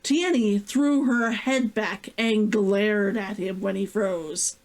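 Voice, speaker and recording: A woman's voice: medium pitch, fairly even intonation. A woman hosting a stream as an author, with a giggly, effusive manner. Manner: giggly, effusive, drawn-out sighing interjections. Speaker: vtuber host and author. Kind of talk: casual excited chat and reading aloud from own novel.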